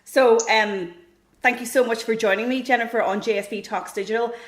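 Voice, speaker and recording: A woman's voice: fairly high pitch, fairly even intonation, brisk. A woman hosting a podcast and interviewing a guest in a conversational style. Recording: roomy, echoing sound.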